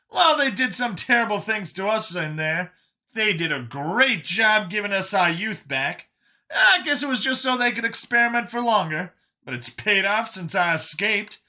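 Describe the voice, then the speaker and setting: A man voicing a folksy, excitable older fugitive who lives in a youthful body, rambling as he talks in an audiobook story. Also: sits high, normal speed, pitched above the performer's own voice, full character voice. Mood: upbeat, chatty.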